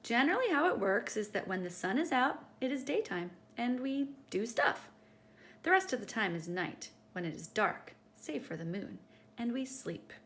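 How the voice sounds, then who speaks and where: Storytime host, female, very lively pitch swings, teacherly read-aloud for young kids, some background noise. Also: moderate pace. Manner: animated, teacherly, addressing young listeners directly. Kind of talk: expressive children's picture-book read-aloud.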